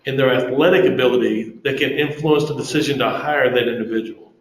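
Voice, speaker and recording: A man's voice: medium pitch, fairly quick. A man moderating a group interview over a conference call, courteous and affirming, with a roomy echo on his voice.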